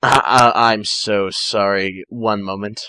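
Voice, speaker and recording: A man's voice: fairly low pitch, steady tone, takes it slowly, bright, forceful tone, dry recording. A man reading a story aloud informally, theatrical and often stumbling or correcting himself. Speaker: amateur fan fiction reader.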